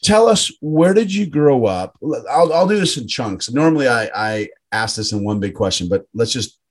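A man hosts a relaxed podcast interview over a video call, and his speech rambles and restarts as he goes. He sits at mid pitch for a man and keeps a friendly, chatty tone. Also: normal speed, husky. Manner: rambling, self-correcting, friendly. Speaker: podcast host. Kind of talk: casual interview.

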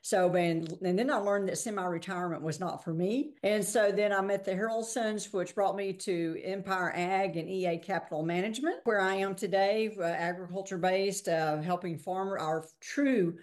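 A woman's voice: mid-range voice, steady tone, normal speed, hardly any pauses. A woman in her fifties from Texas with a Texan accent, a guest on an interview, talking in a chatty, folksy way and laughing easily. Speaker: guest, investment officer. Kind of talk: conversational interview.

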